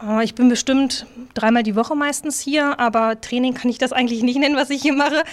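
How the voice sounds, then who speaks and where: A woman in her late twenties talks in a fairly high voice. She is chatty and relaxed, poking a little fun at herself as she describes her hobby. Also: brisk. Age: 20s. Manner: chatty, self-deprecating, easygoing. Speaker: table football player. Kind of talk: interview statements.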